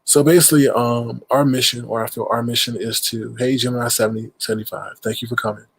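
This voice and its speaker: A man's voice: mid-range voice, level tone, fast, vocal fry, husky, poor audio. A man with a stuffed-up nose hosting a casual livestream, rambling and greeting viewers in chat mid-sentence. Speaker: livestream host.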